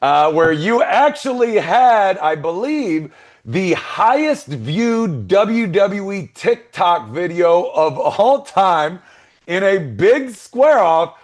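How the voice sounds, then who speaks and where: A man hosting a sports interview show, energetic and hyping up his guest. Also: high-pitched, takes it slowly. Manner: energetic, hype-building. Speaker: host.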